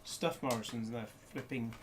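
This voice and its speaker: A man's voice: mid-range voice, fairly even intonation, a little fast, very noisy. A man chatting casually through a disc unboxing, rambling with jokey asides, recorded indoors on a desk camera. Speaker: disc reviewer.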